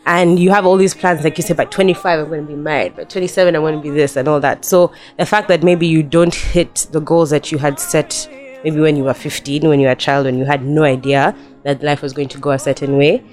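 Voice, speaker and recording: Young female podcast guest, congested and nasal, chatty and laughing, low-pitched, faint music bed. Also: darkish tone. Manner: giggly, chatty, laughs often. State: nasal from a cold. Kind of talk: casual podcast chat.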